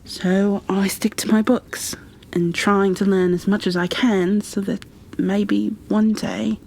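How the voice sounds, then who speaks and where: A woman playing a gentle, shy, bookish bookkeeper in an ASMR roleplay, soft-spoken and half-whispering, with a hesitant, apologetic way of talking. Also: medium pitch, little pitch movement, creaky, rough recording. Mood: wistful, earnest. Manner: hesitant, apologetic, halting, careful. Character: gentle, shy, bookish female creature bookkeeper, peace-loving and kindly.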